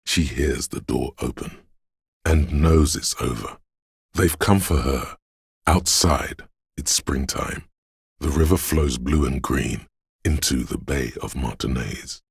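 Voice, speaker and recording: A man voicing a brooding, otherworldly inner voice that senses a rainy city, narrating a game in solemn, measured, poetic lines with a husky, gravelly voice. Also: very deep voice, no room sound, studio quality, heavily acted. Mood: somber, wistful. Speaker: video game voice actor. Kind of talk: slow, poetic second-person game narration.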